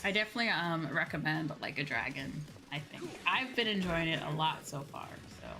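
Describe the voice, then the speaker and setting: A woman's voice: low-pitched, expressive, brisk. A woman chatting casually and with some self-deprecation as she livestreams a video game. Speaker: streamer, virtual YouTuber. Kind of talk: casual livestream gaming chat. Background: game music behind the voice.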